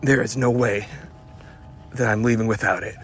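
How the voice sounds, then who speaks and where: A man, a hot rod hobbyist, musing to himself in a personal audio diary with halting, wistful, repetitive phrasing. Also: lowish voice, fairly even intonation, soft background music.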